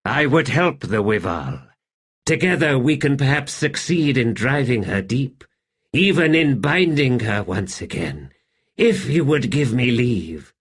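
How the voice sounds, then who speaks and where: A man voicing an ancient male wraith who speaks formally with quiet amusement. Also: medium pitch, very animated, unhurried, husky, voice pushed up. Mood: deferential. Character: ancient undead male wraith, courtly and sly.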